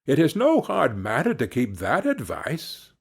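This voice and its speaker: A man's voice: medium pitch, wildly swooping pitch, a little slow, creaky, slightly rough, heavily acted. A man voicing an eager, good-hearted young hero in a storybook, with an earnest, wondering manner.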